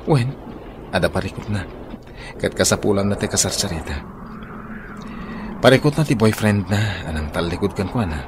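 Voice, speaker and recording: A man's voice: on the low side, expressive, moderate pace, long pauses, noisy background, close to an everyday voice. A man acting a young man who defies his mother for the woman he loves, earnest and pleading. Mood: tender. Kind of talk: radio drama acting.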